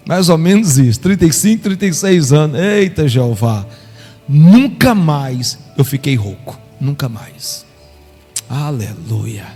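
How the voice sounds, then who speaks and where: A man's voice: fairly high pitch, mellow. A middle-aged man from Brazil, preaching a live sermon with fervent exclamations of praise. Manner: impassioned, exclaims aleluia often. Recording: reverberant hall with faint music.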